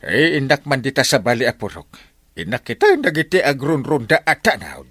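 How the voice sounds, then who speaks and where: A man with an Ilocano accent playing a nosy, excitable village captain in a comedy radio drama, with drawn-out exclamations and hesitant openers. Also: mid-range voice, no echo, clean studio sound, heavily acted. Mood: defensive.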